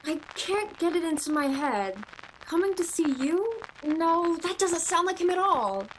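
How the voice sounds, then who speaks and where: A woman acting in a radio play as a romantic, earnest young shop clerk, expressive and theatrical. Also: very high voice, unhurried, a little hiss or hum, exaggerated voice, rough recording. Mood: puzzled, anxious. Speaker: amateur actor.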